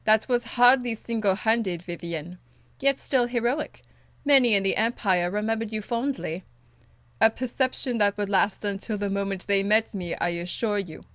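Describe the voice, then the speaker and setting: A woman's voice: mid-range voice, moderate pace, a bit bright, no echo, lightly acted. A woman voicing a blunt, stern and dutiful warrior in a video game, speaking in a curt, earnest, formal way. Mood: modest, brusque. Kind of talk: video game dialogue acting.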